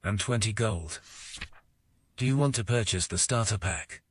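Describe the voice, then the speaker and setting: An actor narrating a fantasy audio game as a calm, measured male storyteller. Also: lowish voice, slightly rough, dry recording, clean studio sound. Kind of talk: scripted narration.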